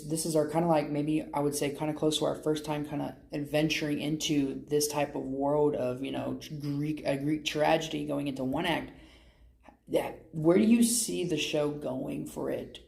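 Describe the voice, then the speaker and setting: A man interviews as a podcast host in a conversational, wordy style. His mid-pitched voice is mellow with plenty of fry. He rambles through his questions, and the room around him echoes. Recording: roomy echo.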